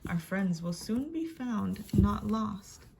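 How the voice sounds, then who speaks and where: A woman storyteller giving a dramatic reading of a rhyming picture book, expressive, with hushed and emphatic passages. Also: medium pitch, slow, vocal fry. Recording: faint background noise.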